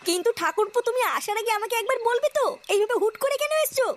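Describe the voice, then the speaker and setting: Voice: extremely high pitch, expressive, rapid-fire. A performer voicing a flirtatious married sister-in-law in a comic cartoon, with a coy, coaxing manner. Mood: startled.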